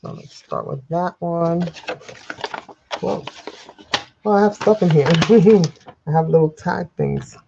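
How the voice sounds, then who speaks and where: A woman's voice: on the low side, slow pace, vocal fry, pauses often, highs cut off, background noise. A woman hosting a live crafting tutorial, chatty and rambling as she talks to viewers, sniffly and under the weather. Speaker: craft livestream host. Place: home craft desk. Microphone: overhead camera livestream, speaker off-frame.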